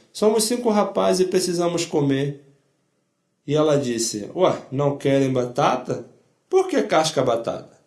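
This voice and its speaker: An older man tells his life story as personal testimony. His voice sits fairly high, and he speaks in short, plain sentences, often repeating himself. The room gives the recording an audible echo. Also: darkish tone. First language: not Portuguese. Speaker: Holocaust survivor giving testimony. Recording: echoey room.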